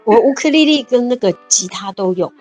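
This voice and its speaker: A woman's voice: a little high, animated, fairly quick, darkish tone, soft background music. A woman in her fifties chatting cheerfully as an interview guest, laughing often and repeating words. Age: 50s. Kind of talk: casual interview chat.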